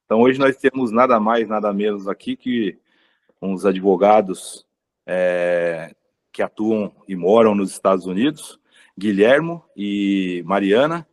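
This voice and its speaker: A man hosts a webinar, introducing his guests and putting questions to them. His voice is on the low side and his speech is loose, padded with small filler words. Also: a little slow. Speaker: webinar host. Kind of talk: hosting a webinar.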